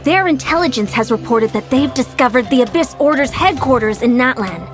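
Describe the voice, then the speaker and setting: A woman's voice: high voice, animated, a little fast, over music. A woman voicing an upbeat, composed young female warrior strategist in a video game, polite, firm and businesslike. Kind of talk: video game character acting.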